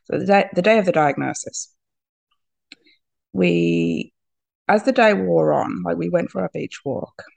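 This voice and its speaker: Podcast guest, female, husky from a cold, with a gravelly edge; open, laughing, halting restarts. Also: lowish voice, a little mellow, no room sound. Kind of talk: candid personal conversation.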